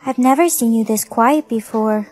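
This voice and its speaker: A woman's voice: a little high, moderate pace, a little mellow, slightly rough. A woman playing an imperious queen in a scripted skit, speaking in a commanding manner. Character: imperious queen who hoards words, ruthless yet generous.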